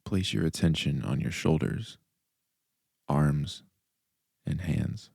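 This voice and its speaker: A man guiding a meditation as a mindfulness coach, speaking calmly and deliberately in short instructional phrases with pauses. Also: a bass voice, creaky, no room sound, studio quality. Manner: calm, deliberate, soft instructional delivery with pauses. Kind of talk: guided meditation narration.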